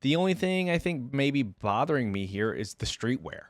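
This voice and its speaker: A man's voice: medium pitch, sing-song, normal speed, dry recording. A man giving casual reaction commentary as a video host, slangy and thinking aloud as he weighs his opinions.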